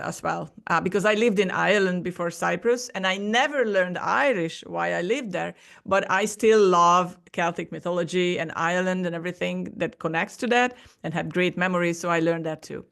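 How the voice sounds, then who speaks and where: A woman's voice: on the low side, gravelly, fairly bright tone. Hungarian-accented woman, rambling podcast guest. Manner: rambling, restarts her sentences often. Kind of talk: casual interview talk.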